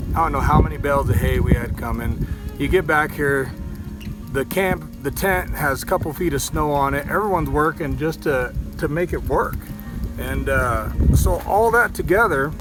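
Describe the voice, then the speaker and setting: A man's voice: on the high side, rough recording. A man presenting a hunting video outdoors, talking to the camera in a casual, conversational way. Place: outdoors on a snowy mountain.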